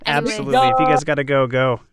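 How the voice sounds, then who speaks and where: A man's voice: fairly high pitch, big pitch swings, rapid-fire, a loud crowd. A man chatting casually and informally as a voice actor in a cast discussion, with a joking manner. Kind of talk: casual chat.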